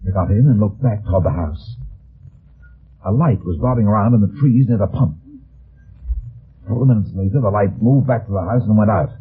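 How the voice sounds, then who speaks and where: A man's voice: on the low side, breathy edge. An actor playing a wry, wary, heavyset private detective, narrating his case tersely in a radio drama. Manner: deliberate, terse, dry storytelling. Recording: old phone-like radio recording, music behind.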